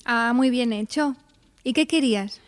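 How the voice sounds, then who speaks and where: An actress plays an earnest young woman in a radio drama. Her voice is clearly high, and she speaks with determination in a theatrical style. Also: measured pace, lightly acted. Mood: guarded.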